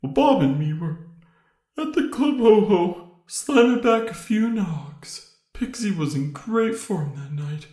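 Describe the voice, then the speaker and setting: A heartbroken snowman suspect in a noir radio play. The delivery swings widely in pitch and takes its time, full of melodrama and hot temper. The sound is roomy, with faint music underneath. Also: animated, slow, mellow. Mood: recounting, indignant. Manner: melodramatic, repeats words in outbursts. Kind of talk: comic noir radio drama acting.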